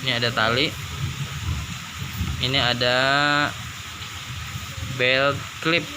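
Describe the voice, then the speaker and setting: A man's voice: mid-range voice, slow pace, heavy background noise. A man giving a casual unboxing commentary, informal and thinking aloud as he goes. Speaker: product reviewer. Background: music.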